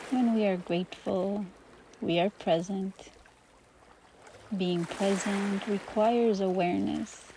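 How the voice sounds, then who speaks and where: Slow, soothing woman guiding meditation. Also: medium pitch, gravelly, a little hiss or hum. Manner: slow, soothing, gentle pauses between phrases. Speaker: meditation teacher. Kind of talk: guided meditation.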